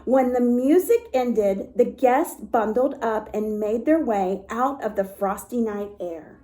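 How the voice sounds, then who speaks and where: A woman reading a story aloud to young children in an expressive way, with little asides to her listeners. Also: fairly high pitch, slow. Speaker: storytime reader for a dance academy.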